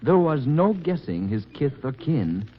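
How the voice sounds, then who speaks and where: A man narrating a poem in a slow, expressive, rhythmic way, on a dull 1940s radio broadcast. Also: mid-range voice, faint music behind. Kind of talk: dramatic poetry narration.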